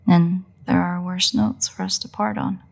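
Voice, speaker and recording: A woman playing a playful, sardonic, bloodthirsty but secretly caring vampire in a roleplay audio drama, with wry, husky, often breathy delivery. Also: fairly low pitch, level tone. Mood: brisk, matter-of-fact. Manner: wry, teasing, unhurried delivery with pauses.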